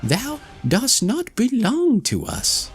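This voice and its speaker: A man's voice: very high voice, huge pitch swings, slow, faint music behind, pitched above the performer's own voice, full character voice. A man voicing cruel, haughty fairy-tale sisters who sneer with contempt.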